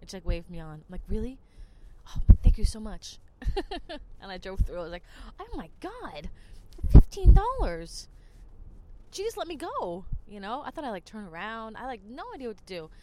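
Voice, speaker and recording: A woman's voice: medium pitch, sing-song, normal speed, very noisy. A woman from New Jersey, a podcast host telling rambling stories in casual chat. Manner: chatty, rambling, lots of "like". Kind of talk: casual storytelling monologue.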